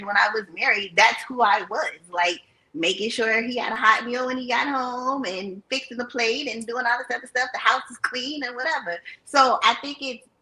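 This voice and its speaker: Woman from virginia, bright sharp voice, a bit high, rambly and reflective on a stream chat. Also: poor audio. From Virginia, USA. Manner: reflective, rambling with fillers like "like" and "whatever". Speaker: panelist. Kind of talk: casual live-stream discussion.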